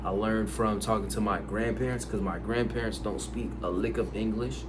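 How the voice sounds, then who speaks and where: A man's voice: on the low side, steady tone, moderate pace. A man born in the United States, whose first language is Haitian Creole, talking casually to camera about language learning, rambling with lots of fillers. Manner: rambling, filler-heavy, conversational. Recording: noisy background.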